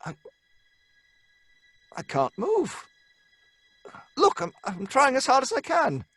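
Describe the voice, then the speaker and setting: An excitable gentleman from a classic radio drama. His high voice is husky and protests indignantly, stumbling over words. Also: takes it slowly, heavily acted. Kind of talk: old British radio horror drama acting.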